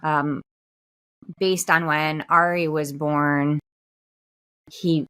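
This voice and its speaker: A woman's voice: sits low, flat delivery, drawn-out words, bright, forceful tone. A woman in her forties co-hosting a podcast with her daughter, telling stories in a chatty way and laughing often. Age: 40s. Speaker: podcast co-host, mother. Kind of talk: casual chat.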